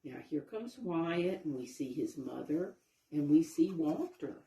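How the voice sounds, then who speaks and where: A woman with a low, mellow voice reads a picture book to young children in an echoey room. She explains as she goes and puts questions to her listeners. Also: animated, a little slow. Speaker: storybook reader, teacher-like narrator. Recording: roomy, echoey sound.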